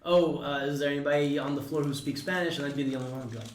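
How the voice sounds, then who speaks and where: A man's voice: on the high side, steady tone, racing, a loud crowd. A man co-hosting a casual podcast chat, laughing often and telling stories with quoted asides. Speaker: podcast co-host. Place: warehouse. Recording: echoey room.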